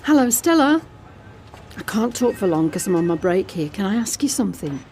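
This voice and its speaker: A woman's voice: medium pitch, big pitch swings, brisk, darkish tone, soft background music. A woman playing an anxious but determined young escort in an intimate, conversational audio drama monologue. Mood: bright, eager. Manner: confiding, conversational.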